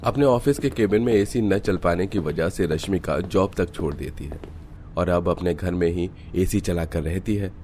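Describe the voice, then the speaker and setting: Very deep-voiced male story narrator. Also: moderate pace, warm tone, a little hiss or hum. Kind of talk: scripted cartoon story narration.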